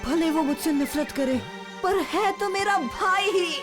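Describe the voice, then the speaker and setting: A woman's voice: very high-pitched, unhurried. A woman voicing a brave, good-hearted young boy in a cartoon, spirited and earnest. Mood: determined. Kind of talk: dubbed cartoon voice acting. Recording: loud music behind.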